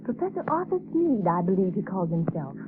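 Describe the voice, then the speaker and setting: An actress in a 1930s radio drama playing a spirited, concerned society woman who talks briskly and earnestly. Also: mid-range voice, sing-song, natural voice. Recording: hissy tinny old radio broadcast.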